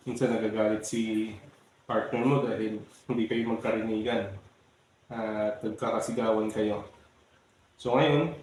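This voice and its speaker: A man's voice: lowish voice, darkish tone. A man with a Filipino accent giving a casual step-by-step product tutorial, often addressing his viewers. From Philippines. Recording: very echoey room, faint background noise.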